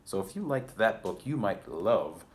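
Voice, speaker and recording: A man's voice: on the low side, sing-song, measured pace. A man hosting a children's picture book read-aloud, speaking with exaggerated, theatrical politeness and chatty asides. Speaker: library storyteller and host.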